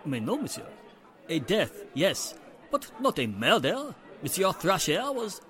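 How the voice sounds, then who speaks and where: A high-voiced, anxious Frenchman. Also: wildly swooping pitch, a little slow, a bit bright, full character voice. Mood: insistent, distressed. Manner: formal, deferential, peppering speech with French. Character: anxious, apologetic French café manager. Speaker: restaurant manager character. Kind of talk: radio drama acting.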